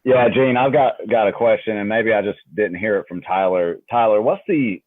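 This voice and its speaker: Deep guy asking questions at a board meeting, phone-sounding, no echo. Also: normal speed. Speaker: board member. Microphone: phone or conference call. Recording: dry, tinny phone-like line.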